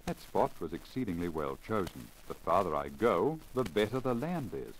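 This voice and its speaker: On a crackly 1950 disc, a male reader gives us a determined peasant man with a low voice. He is eager and resolute, reasoning aloud in old radio storytelling style. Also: big pitch swings, normal speed. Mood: eager, calculating. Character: Pacham, eager, determined Russian peasant landseeker.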